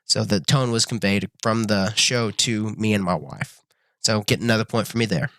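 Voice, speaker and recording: A young man reviewing a show to camera in a casual, earnest way, full of ums and restarts, his voice a little raspy from being sick. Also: lowish voice, normal speed, sharp tone, dry recording. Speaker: YouTube reviewer.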